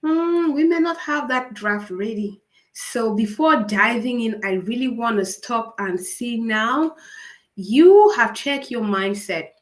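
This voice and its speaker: Raspy-voiced woman coaching through a cold. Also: on the high side, lively intonation, slow. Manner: upbeat, encouraging, asks viewers many questions. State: fighting a cold. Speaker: writing coach hosting a live series. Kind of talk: live-stream coaching talk.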